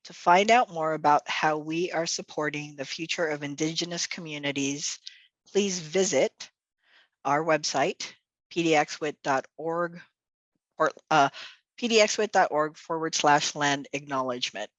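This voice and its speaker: A woman with a slightly low voice hosts an online meeting, upbeat and chatty, filling pauses with "um" and "uh." Her first language is Tagalog. The sound is dry and close, as on a video call. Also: dry recording. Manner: upbeat, chatty, many fillers. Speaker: executive director and event host. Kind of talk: virtual event welcome and announcements. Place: home or office on a video call.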